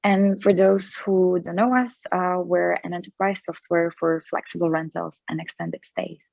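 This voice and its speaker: A woman's voice: lowish voice, steady tone, moderate pace, creaky, darkish tone, dry recording. A woman introducing herself as a panelist over a video call, friendly and eager. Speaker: panelist, company co-founder. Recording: dull, lo-fi call audio.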